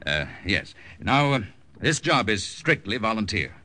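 An actor playing a grave, authoritative officer type in a 1950s radio drama. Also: male voice, on the low side, sing-song, measured pace, exaggerated voice. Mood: measured, confidential. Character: grave, authoritative army captain and dramatic announcer. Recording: bright old radio recording, faint music.

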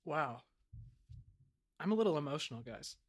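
A man's voice: on the high side, big pitch swings, moderate pace. A man hosting a podcast in a casual, chatty style. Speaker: podcast host playing an in-character town leader.

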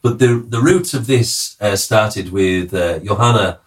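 A man's voice: on the low side, unhurried, distant mic. A man from the north of England with a Cumbrian accent, a musician guest telling stories in a casual interview, rambling with many fillers and jokes. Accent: Cumbrian English.

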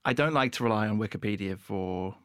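A man's voice: fairly low pitch, fairly quick, no room sound, clean studio sound. A man hosting an interview podcast, enthusiastic and playful, laughing a lot. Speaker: podcast host. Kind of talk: casual interview chat.

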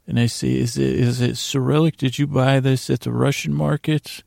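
A man hosting a bedtime podcast with a creaky, gravelly voice, rambling and halting through digressive monologue that often trails off. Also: medium pitch, fairly even intonation, a little mellow, no room sound, studio quality. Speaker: podcast host. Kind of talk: rambling bedtime monologue to lull listeners to sleep.

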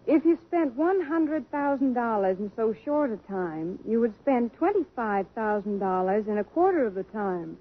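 An impulsive young society daughter bubbles along in a high voice. She is spirited and carefree. The audio is thin and tinny, like an old broadcast. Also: animated, moderate pace, few pauses, husky.

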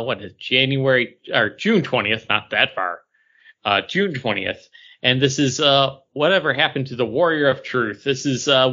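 Male podcast co-host, sharp bright tone, conversational, dull lo-fi recording. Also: mid-range voice. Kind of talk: casual comic book chat.

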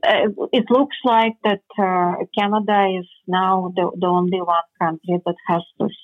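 A woman with a Ukrainian accent, a native Ukrainian speaker, answering interview questions earnestly in slightly halting phrasing over the phone. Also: fairly low pitch, steady tone. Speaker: interview guest, foundation representative. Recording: phone line.

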